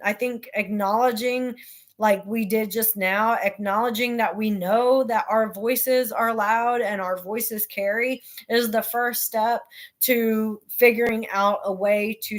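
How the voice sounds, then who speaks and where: A woman talks slowly and deliberately, her everyday voice carrying loudly even when she means to speak softly. Her tone sits a little high, and she pauses often with ums and likes as she shares personal thoughts in a casual chat. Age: adult with children. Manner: loud voice by habit, talks slowly and earnestly with fillers. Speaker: host. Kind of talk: casual talk about her book.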